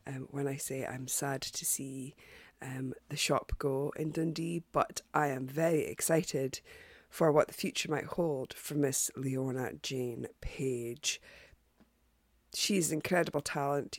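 A woman hosting a podcast, chatty and hesitant with lots of ums and restarts, sounding croaky and congested from a cold. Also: deep voice, no room sound. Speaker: podcast host. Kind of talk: casual podcast sign-off.

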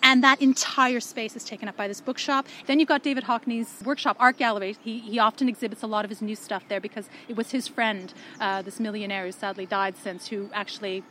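A woman with a Canadian accent chatting casually and warmly reminiscing, recorded outdoors by a canal. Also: a little high, fairly quick, hardly any pauses, a little hiss or hum. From born in Yorkshire, raised in Canada. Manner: animated, reminiscing, laughs often. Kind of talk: casual chat.

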